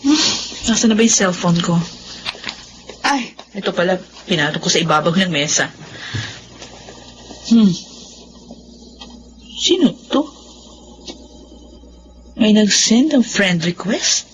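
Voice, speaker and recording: A woman's voice: medium pitch, sing-song, slow pace, pauses often, a little hiss or hum, rough recording. A woman acting a good-natured, easily flustered young mother in a radio drama. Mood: mild exasperation, musing.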